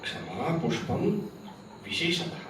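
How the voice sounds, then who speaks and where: A man's voice: a little high, sing-song, normal speed, noisy background. A man whose first language is Tamil, giving a spiritual discourse, asking rhetorical questions and reciting Sanskrit verses. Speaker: spiritual teacher. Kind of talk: spiritual discourse to a camp audience. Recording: very echoey, from far off, with crickets.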